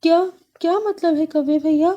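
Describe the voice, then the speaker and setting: A woman voicing a small, timid female sparrow who pleads in a high, tearful way. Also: dark tone, muffled recording. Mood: startled.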